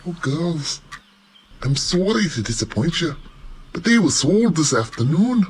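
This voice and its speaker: A man plays a kindly, formal shopkeeper in a children's radio play. His voice sits fairly high and moves around a lot in pitch. There is faint noise from a home video-call recording. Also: sing-song, unhurried. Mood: apologetic. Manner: polite, formal. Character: courteous male shopkeeper, kindly and apologetic.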